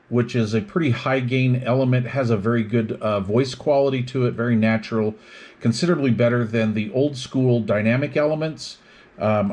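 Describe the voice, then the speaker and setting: Male product reviewer, mid-pitched, methodical explainer walking through features in short, itemized phrases. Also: darkish tone. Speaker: product reviewer demonstrating radio gear.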